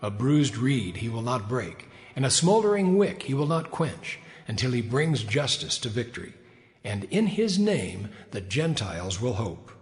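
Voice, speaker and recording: An actor playing a prophet, solemnly proclaiming prophecy in a dramatized scripture production, with long reverb on the voice. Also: male voice, medium pitch, big pitch swings, measured pace. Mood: solemn proclamation.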